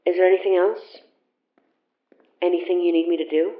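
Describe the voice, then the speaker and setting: A woman's voice: fairly low pitch, fairly even intonation, brisk, natural voice. An actress playing a weary, defiant young woman with magical senses in an audio drama, speaking casually and bluntly with a wry edge. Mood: wary, reluctant. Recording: muffled phone-like sound, roomy echo.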